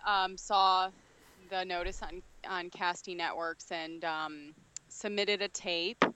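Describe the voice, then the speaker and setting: A woman's voice: fairly low pitch, steady tone, slow pace, pushed and bright, clean tone, rough recording. A woman, an actress guest, telling in upbeat, chatty talk how she got a film role. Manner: upbeat, chatty, many fillers. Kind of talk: casual interview storytelling.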